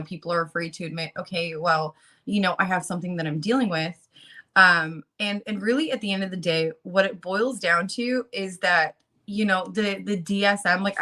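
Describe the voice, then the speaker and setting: Bright-voiced woman in her late twenties. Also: on the low side. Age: late 20s. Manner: earnest and halting, with many ums and you-knows. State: just woke up. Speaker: guest speaker on a channel about fighting child protective services. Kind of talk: casual talk, partly reading resources aloud.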